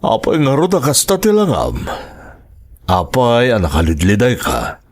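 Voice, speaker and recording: A man's voice: mid-range voice, wildly swooping pitch, a little slow. A man with an Ilocano accent acting expressively in a family radio drama. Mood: questioning. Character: male characters in a family and romance drama.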